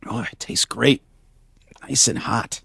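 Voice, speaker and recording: Wide-eyed navigator, folksy, plain-spoken, medium pitch, dry sound, radio drama. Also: male voice, slow pace, creaky, sharp tone, slightly rough, dry recording, full character voice. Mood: eager, frank. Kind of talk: classic radio drama acting.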